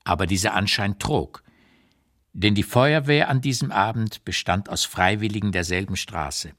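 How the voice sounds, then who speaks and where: A man playing an old émigré writer who recalls wartime years in a radio reading, with deliberate, emphatic, literary phrasing and an unsparing eye. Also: on the low side, fairly bright tone. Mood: wry astonishment. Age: older. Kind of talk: dramatised literary memoir reading, radio play.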